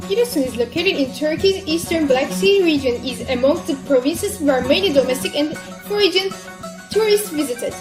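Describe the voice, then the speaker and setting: A woman giving a stiff, list-like narration of city sights. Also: high-pitched. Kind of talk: scripted narration.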